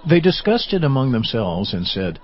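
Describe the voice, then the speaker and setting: A man's voice: mid-range voice, big pitch swings, moderate pace. A man narrating scripture as the narrator of a dramatized audiobook. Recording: slightly dull, faintly noisy sound.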